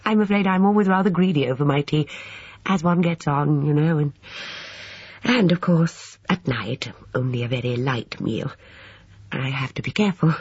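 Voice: mid-range voice, normal speed, breathy edge, no echo, dull audio. A narrator voicing a sweet, fluffy old English lady with a gushing, genteel, rambling politeness in an audiobook. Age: older.

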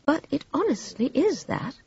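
A woman voicing a smooth, cold, quietly resolute woman in a dramatised storybook reading. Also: on the low side, sing-song, full character voice. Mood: quiet, firm. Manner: composed, cool, measured, polite. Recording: roomy, slightly dull audiobook recording.

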